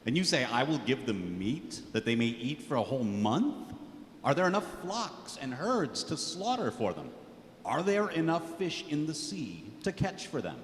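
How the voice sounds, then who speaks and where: A man's voice: medium pitch, very animated, normal speed, close to an everyday voice. A man reading scripture dramatically in church as Moses, a burdened, exasperated leader pleading. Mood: incredulous. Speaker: scripture reader voicing Moses. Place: large church indoors. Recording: echoey church hall, audible noise.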